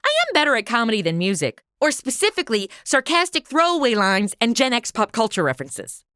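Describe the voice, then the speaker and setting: A woman's voice: fairly high pitch, sing-song, fairly quick, pushed and bright, clean tone, no echo, studio quality. A woman playing a sarcastic modern wisecracker posing as a minstrel in a comedy audio drama, with casual slangy delivery. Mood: cheeky.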